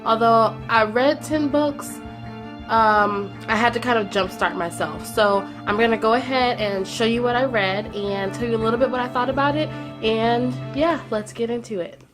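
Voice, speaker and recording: A woman's voice: a little high, moderate pace, over music. Chatty young woman, congested from a cold. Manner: chatty, frequent "um" fillers, repeats for emphasis. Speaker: book vlogger. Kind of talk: casual monthly reading wrap-up.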